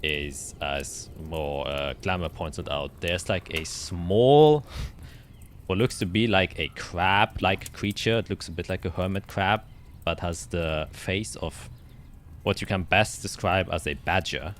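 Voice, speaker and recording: Man, deep voice, game master, improvised scene descriptions, hesitant phrasing, noisy background. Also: unhurried. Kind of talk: improvised narration of a tabletop fantasy game.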